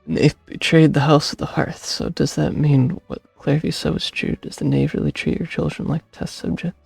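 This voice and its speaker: Very gravelly, husky man streaming a game. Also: fairly high pitch, fairly even intonation, moderate pace, darkish tone. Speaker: streamer playing a video game. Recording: dry close sound over game music.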